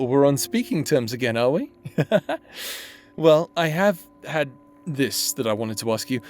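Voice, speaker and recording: A man's voice: mid-range voice, natural voice. A man voicing a remorseful necromancer husband who now haunts his partner's memory, speaking tenderly and teasingly in a roleplay audio drama. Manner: tender, teasing, softly spoken.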